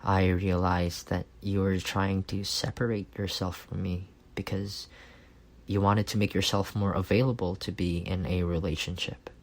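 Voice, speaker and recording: A man acting a reserved, analytical young man in an intimate ASMR romance roleplay, speaking softly and deliberately with some hesitation. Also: low voice, level tone. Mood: subdued, pensive. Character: reserved, analytical young man confessing love to best friend.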